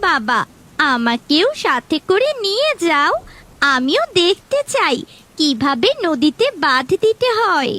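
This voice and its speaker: Woman as young cartoon duckling, very high pitched, lively intonation, sweet, earnest, pleading. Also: takes it slowly, full character voice. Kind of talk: animated fairy tale character acting.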